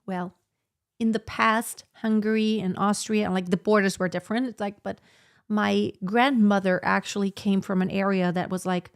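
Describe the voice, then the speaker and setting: A woman's voice: mid-range voice, flat delivery, normal speed, smooth. Chatty woman vocal coach on a live stream. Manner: chatty and rambling, many fillers and restarts. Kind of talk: casual live-stream chat reading viewer comments. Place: home in southern Germany.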